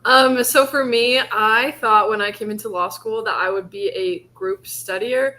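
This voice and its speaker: A woman's voice: medium pitch, fairly even intonation, measured pace, bright, forceful tone. A young woman in her twenties from Utah, a law student intern talking on a panel in an upbeat, talkative way. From Utah, United States. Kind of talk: panel chat.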